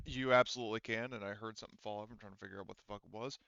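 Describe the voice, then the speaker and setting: Male game master, casual tabletop banter, forceful bright tone on a slightly lo-fi recording. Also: on the low side, fairly even intonation, very fast, gravelly, no echo.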